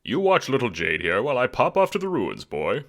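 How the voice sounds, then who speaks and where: A man's voice: mid-range voice, wildly swooping pitch, a little fast, creaky, heavy background noise. Man playing a jaunty, theatrical adventurer scientist. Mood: jovial. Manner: breezy, theatrical, old-fashioned exclamations. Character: jaunty, self-important male globe-trotting adventurer scientist.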